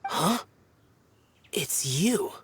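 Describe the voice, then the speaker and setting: An actor playing a youthful, aloof immortal guardian in a game, speaking gravely and formally. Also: male voice, a little high, lively intonation, extremely slow, a bit bright, a little hiss or hum, exaggerated voice. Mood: solemn, aloof. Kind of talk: game character acting.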